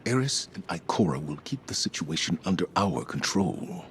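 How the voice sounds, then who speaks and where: An actor playing a stoic, authoritative older military commander in a video game, measured and resolute. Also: male voice, deep voice, very animated, slight background noise. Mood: resolute, grave.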